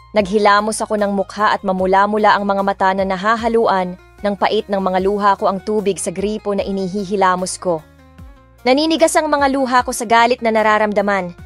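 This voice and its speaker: A woman with a Filipino accent narrating a romance audiobook in the first person, telling it with restrained emotion. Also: mid-range voice. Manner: emotional, restrained storytelling in Tagalog and English. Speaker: narrator and voice of the heroine. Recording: background music under the voice.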